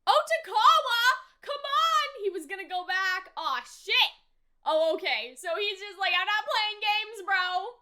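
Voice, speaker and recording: A woman reacts aloud to a show as she watches, in a very high, sharply bright voice. Her delivery is slangy and exclamatory, with a lot of melodic swing and some swearing. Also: lively intonation, measured pace. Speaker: reaction video host. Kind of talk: live anime reaction commentary.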